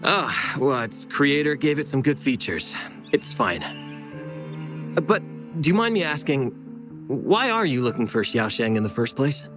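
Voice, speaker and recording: Young male researcher, easygoing, optimistic, slightly awkward, animated pitch, friendly hesitance, game dialogue, music behind. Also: a little slow. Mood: polite, friendly. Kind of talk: video game voice acting.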